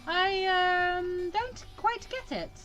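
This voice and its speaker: A woman voicing an indignant, sharp-tongued fourteen-year-old student girl in a visual novel, sarcastic and exclamatory. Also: extremely high pitch, extremely slow, dull audio, soft background music, pitched above the performer's own voice, heavily acted. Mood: baffled, sarcastic.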